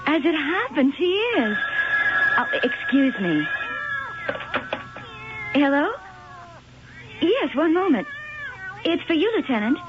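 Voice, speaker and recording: An actress playing a nervous young former dancer in a vintage radio drama, heard on a tinny, hissy old broadcast. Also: female voice, high-pitched, very animated, slow pace, long pauses, exaggerated voice. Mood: polite. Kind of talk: 1970s radio drama acting.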